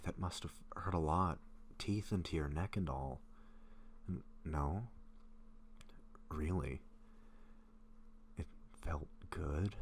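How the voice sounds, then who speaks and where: Man playing a very deep, hesitant gentle vampire. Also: a little hiss or hum, full character voice. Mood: concerned, surprised. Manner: hesitant, breathy murmurs, halting phrases. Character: gentle, apologetic lovestruck young male vampire, shyly hungry. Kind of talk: intimate audio roleplay.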